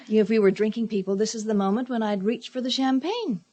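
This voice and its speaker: An actress playing a devoted, ambitious middle-aged wife in a radio drama, husky and emphatic. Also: female voice, fairly high pitch, fairly quick, dark tone, close to an everyday voice. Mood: teasing, amused. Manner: emphatic, theatrical delivery.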